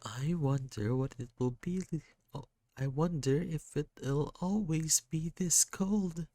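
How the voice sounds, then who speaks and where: A man's voice: mid-range voice, animated, slow pace, darkish tone, voice pushed up. A man voicing a sad, short-tempered child, speaking in a raised, strained, tearful voice. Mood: bleak.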